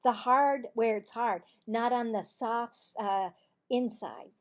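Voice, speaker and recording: A woman voicing a bossy, scornful schoolgirl in a storybook, with loud, emphatic, taunting delivery. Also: on the high side, slow pace. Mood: insistent.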